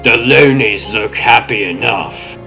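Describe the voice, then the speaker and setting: A brash raccoon ranger, acted by a man, speaking in a bright, forceful voice at an unhurried pace. He is dry, bossy and exasperated, like a cartoon hero, with music playing underneath. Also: on the low side, creaky, voice pushed down, heavily acted. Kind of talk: comic-book dub acting.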